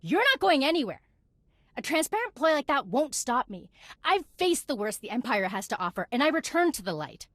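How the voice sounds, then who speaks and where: An actress playing a righteous, angry and anguished Jedi in a video game, accusing and emotionally intense. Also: female voice, high-pitched, very animated, brisk, fairly bright tone, no room sound, clean studio sound, exaggerated voice. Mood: bitter defiance. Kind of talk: video game cutscene acting.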